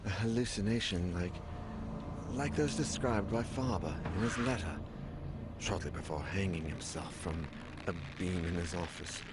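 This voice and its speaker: An actor playing a weary, troubled man investigating a horror mystery in a video game, speaking gravely. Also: deep voice, normal speed, very noisy, natural voice. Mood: haunted, brooding. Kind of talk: dramatic video game narration and dialogue. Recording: loud music behind the voice.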